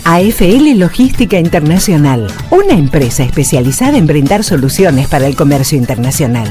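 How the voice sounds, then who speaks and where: A woman's voice: low voice, sing-song, brisk. A woman with an Argentine accent reading scripted radio advertisements as an announcer. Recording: radio ad over clear music bed.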